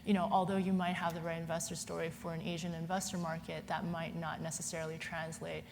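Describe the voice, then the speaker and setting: A woman from Hong Kong talks on a conference panel in a chatty way, with many "you know"s and restarts. Her voice sits fairly low and stays flat. The sound carries a long hall reverb over a faint hiss. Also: fast talker, creaky.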